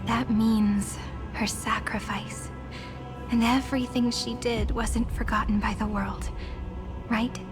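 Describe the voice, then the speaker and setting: A woman voicing a gentle, poised young female companion in a video game. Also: on the high side, fairly even intonation, takes it slowly, rough recording. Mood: composed, earnest. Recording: game music behind the voice.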